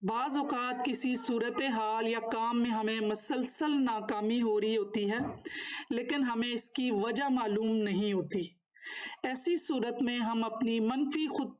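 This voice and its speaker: High-pitched woman giving an instructional lecture. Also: a little mellow, poor audio. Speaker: NLP course instructor. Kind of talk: lecture, partly read from notes with spoken explanations.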